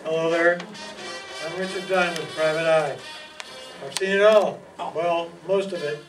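A man's voice: a little high, fairly even intonation, a little slow, lightly acted. A man playing a jaded, wisecracking private eye, narrating wryly in 1940s radio detective style. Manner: wry, world-weary. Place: live stage reading in a meeting room. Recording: distant mic in a meeting hall. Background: music.

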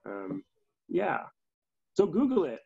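A man's voice: on the high side, wildly swooping pitch, slow pace, gravelly, a little mellow, breathy edge. Young Canadian man, slightly muffled call. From Calgary, Canada. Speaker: theatre artistic director and guest. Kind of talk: casual chat. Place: small room indoors. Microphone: laptop on video call. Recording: dull video call audio.

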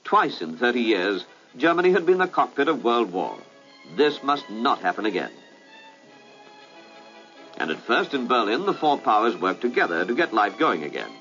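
British man, formal emphatic 1950s documentary narration, animated pitch, muffled vintage soundtrack, clear background music. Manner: formal, measured, emphatic newsreel delivery. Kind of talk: scripted 1950s public information film narration.

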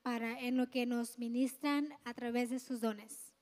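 A young woman interpreting stage announcements in a church, a little halting, on a handheld microphone. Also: on the high side, steady tone, a little mellow. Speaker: interpreter for the host. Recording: long hall reverb from PA.

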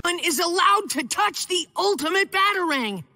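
A man voicing a bossy, high-strung teenage superhero sidekick in a cartoon, emphatic, defensive and easily flustered. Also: expressive, moderate pace. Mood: panicked, stern. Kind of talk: animated cartoon acting. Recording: loud cartoon music behind.

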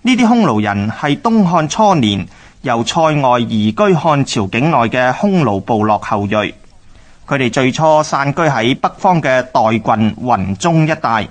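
A male narrator of a historical radio drama speaks Hong Kong Cantonese at a medium pitch. He reads scripted history in a measured, explanatory way. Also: big pitch swings.

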